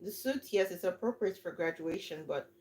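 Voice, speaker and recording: Nigerian woman giving lively livestream advice. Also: on the low side, moderate pace, rough recording. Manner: lively, emphatic, repeats herself. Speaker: livestream host and coach. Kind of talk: casual advice chat on a livestream.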